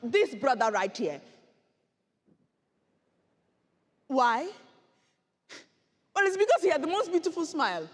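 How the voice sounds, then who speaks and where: A woman performing a dramatic monologue as an earnest Rwandan genocide survivor, telling childhood memories with deliberate theatrical pauses. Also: high voice, sing-song, voice pushed up. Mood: fond, animated. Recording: echoey hall with long reverb.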